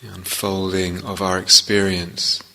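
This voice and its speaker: A man leading a guided meditation as a teacher, speaking gently and in measured short phrases with long pauses. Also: sits low, little pitch movement, creaky, rough recording.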